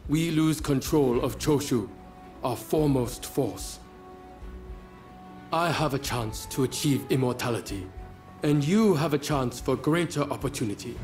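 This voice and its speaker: An actor playing a wise, self-sacrificing elder samurai master in a video game, speaking formally and deliberately. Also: male voice, medium pitch, darkish tone, natural voice. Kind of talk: video game cutscene voice acting.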